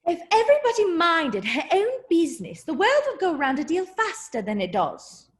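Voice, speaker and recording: A woman's voice: extremely high pitch, wildly swooping pitch, heavily acted. A woman playing a bad-tempered, domineering Duchess in a dramatic reading of a children's classic, drawing out her words with exaggerated imperiousness. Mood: snappish, commanding. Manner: drawn-out, exaggerated, imperious delivery.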